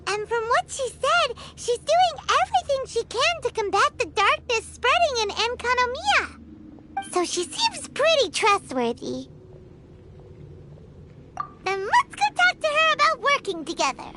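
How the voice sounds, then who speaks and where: A woman voicing a tiny, excitable childlike female fairy companion in a video game, with a squeaky, perky delivery. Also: unhurried, heavy background noise, heavily acted. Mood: earnest recap. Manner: perky, refers to self by name. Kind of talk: animated video game dialogue.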